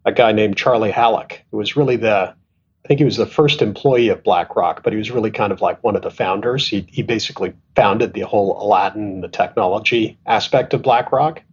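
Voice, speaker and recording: A man's voice: on the low side, a little fast, lo-fi sound, slight background noise. A middle-aged man from Canada, an asset management executive, telling stories thoughtfully in an interview with many restarts and fillers. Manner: thoughtful, self-deprecating, frequent restarts and fillers. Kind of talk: conversational interview storytelling.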